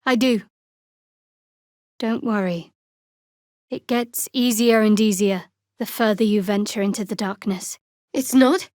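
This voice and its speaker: A woman voicing a guarded, sardonic young cleric of a dark goddess in a video game, with dry, clipped, wry delivery. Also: mid-range voice, unhurried, long pauses, no room sound, lightly acted. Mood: earnest, guarded. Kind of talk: video game character dialogue.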